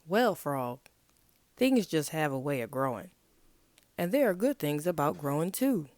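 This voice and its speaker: A woman's voice: low-pitched, big pitch swings, a little slow, a little mellow, no echo. Woman reading a patient storybook animal elder. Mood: matter-of-fact. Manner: coaxing, matter-of-fact. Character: patient grown-up frog parent and easygoing pig. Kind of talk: picture-book read-aloud.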